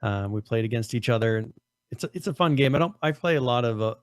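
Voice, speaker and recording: A man co-hosting a casual gaming podcast, losing his voice, his throat strained and cracking on high notes, rambling with many restarts. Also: expressive, quick pace, dry recording, clean studio sound. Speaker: podcast co-host.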